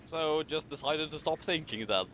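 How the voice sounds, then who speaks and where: A man's voice: on the high side, normal speed, fairly bright tone, a little hiss or hum, low-quality recording. A man reading a story aloud and commenting on it casually as he goes. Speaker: co-host reading fan fiction aloud with commentary. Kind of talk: amused read-aloud commentary and casual chat.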